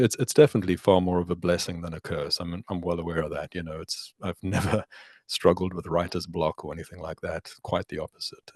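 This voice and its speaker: A middle-aged musician, a man, talking as a guest in a relaxed interview, reflective and measured, thanking his host warmly. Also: deep voice, no echo, studio quality. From South Africa. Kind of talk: casual interview chat.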